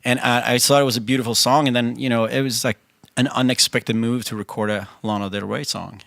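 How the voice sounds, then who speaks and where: A bright, forceful male voice speaking English as a second language, his first being Swedish. He talks loosely and chattily, restarting phrases and acting out what people said. The sound is close and dry, with no room around it. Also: medium pitch, steady tone, fairly quick, gravelly, no echo. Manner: chatty, restarts often, quotes himself. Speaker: band singer, interview guest. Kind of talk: casual interview conversation. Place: studio.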